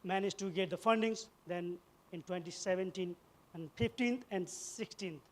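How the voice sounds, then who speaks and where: A middle-aged man from eastern Nepal with a Nepali accent, telling a personal story as a public speech in short, halting, emphatic phrases. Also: high-pitched. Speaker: event speaker, former soldier.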